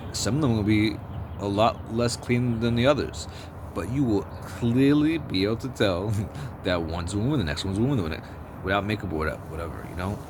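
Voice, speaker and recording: A man with a deep bass voice giving a blunt, profane, rambling opinion monologue with many restarts. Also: a little fast. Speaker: vlogger. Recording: outdoor street noise with passing vehicles.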